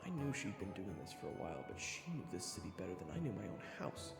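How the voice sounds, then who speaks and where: Man acting an expressive, gravelly young-hearted traveler. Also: low voice, fast talker, loud music behind the voice, full character voice. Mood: wondering narration. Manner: expressive, casual, candid. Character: earnest human traveler narrating his fantasy journey, awestruck, candid. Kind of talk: audio roleplay acting with first-person narration.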